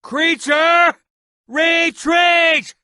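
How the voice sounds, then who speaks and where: Casting: pompous video game villain, sneering potion-maker, bright, forceful voice, very dry close sound. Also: male voice, very high voice, steady tone, extremely slow, dry recording, exaggerated voice. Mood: frantic, outraged. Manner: pompous, sneering, melodramatic, over-enunciated. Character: haughty, scheming, theatrical villainous male potion-maker. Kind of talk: video game character acting.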